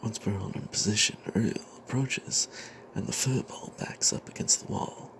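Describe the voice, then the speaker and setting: A man voicing a greedy, cowardly adventurer cleric, telling a fantasy tale in the first person in a breathy, secretive ASMR whisper with hesitant pauses. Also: on the low side, fairly bright tone, slight background noise. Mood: tense, secretive. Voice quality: breathy whisper with creaky edges. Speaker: narrator of a tabletop fantasy tale.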